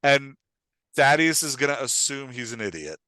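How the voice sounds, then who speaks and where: A man hosting and coaching in an animated, conversational way, emphatic and often checking that he is being understood. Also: mid-range voice, moderate pace, no echo. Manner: acts out short example lines of dialogue. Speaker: podcast host and writing coach.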